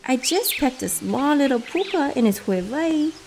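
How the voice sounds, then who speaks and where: A woman's voice: high-pitched, animated, normal speed, a little mellow, slight background noise, pitched above the performer's own voice. A woman voicing a small, naughty male bird, whiny and indignant, pleading in exclamations for a storybook tale. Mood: defensive, wheedling. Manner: pleading, exclamatory, plays down own misdeeds.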